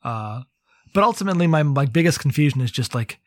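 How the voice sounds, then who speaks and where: A podcast co-host talking casually and informally about a book, animated and given to swearing. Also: mid-range voice, fast, no echo, clean studio sound.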